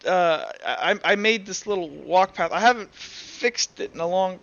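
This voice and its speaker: A man plays an absent-minded swamp guide in a tabletop game, with a high, bright voice. He hesitates, loses his thread and trails off in confusion, on a slightly muffled microphone. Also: normal speed, creaky. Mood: confused, forgetful.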